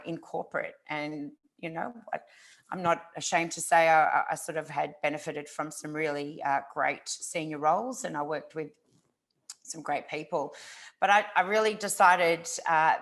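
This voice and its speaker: Chatty low-voiced woman in her forties. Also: fairly bright tone. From Ukraine. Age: 40s. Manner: chatty, with frequent fillers and restarts. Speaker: startup founder and speaker. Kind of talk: conference talk over a video call.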